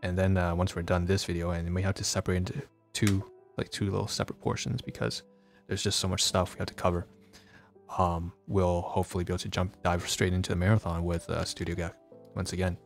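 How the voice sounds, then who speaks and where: A man's voice: deep voice, level tone, quick pace, gravelly, soft background music. A man streaming a casual vlog, rambling with lots of fillers and restarts, sounding congested. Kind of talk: casual livestream vlog talk. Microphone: phone.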